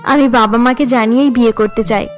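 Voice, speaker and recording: Woman as an earnest, high-voiced cartoon elder sister. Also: steady tone, brisk, faint music behind, close to an everyday voice. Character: dutiful, earnest elder daughter, young schoolteacher in love. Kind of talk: Bengali cartoon dialogue.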